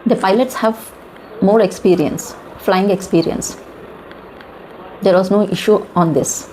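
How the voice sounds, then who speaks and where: A woman with an Indian accent giving informal news commentary in halting, emphatic short phrases, often posing rhetorical questions. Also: medium pitch, darkish tone, low-quality recording. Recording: clear background noise.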